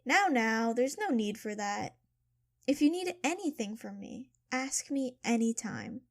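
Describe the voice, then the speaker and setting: A woman's voice: on the high side, measured pace, no room sound, studio quality. A woman playing a motherly, kindly bossy female servant in an audio drama. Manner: warm, motherly, lively.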